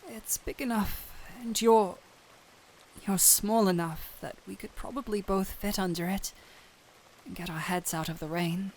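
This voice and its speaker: Woman as kind, worried fantasy doctor for audio drama; slow, earnest, halting delivery, rain behind the voice. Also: medium pitch, fairly even intonation, darkish tone, slight background noise, close to an everyday voice. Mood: gentle coaxing. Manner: earnest, hesitant, pleading with broken phrases. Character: gentle, anxious human doctor pleading with a small cat-thief. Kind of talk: dramatic audio roleplay addressed to the listener. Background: rain ambience.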